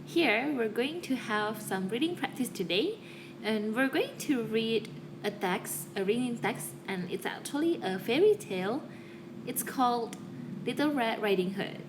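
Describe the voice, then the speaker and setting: Higher-voiced woman teacher over noisy background. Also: expressive, unhurried. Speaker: English teacher. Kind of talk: instructional talk to students. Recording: clear background noise.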